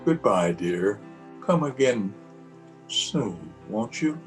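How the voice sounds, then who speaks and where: Man playing a halting, expressive kindly father. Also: lowish voice, faint music behind. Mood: gentle, puzzled. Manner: hesitant, halting. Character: kindly, bewildered middle-aged father. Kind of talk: old-time radio drama acting.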